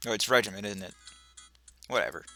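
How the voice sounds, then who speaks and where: A man's voice: lowish voice, brisk, bright, forceful tone, soft background music. A man casually commenting as he plays a game, joking at himself and tripping over words. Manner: joking, self-mocking, trips over words. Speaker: let's play gamer.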